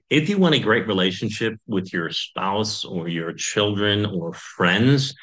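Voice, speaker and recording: A man, a pastor, preaching a sermon in a conversational way, with frequent pauses and fillers. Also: low-pitched, a bit bright, rough recording.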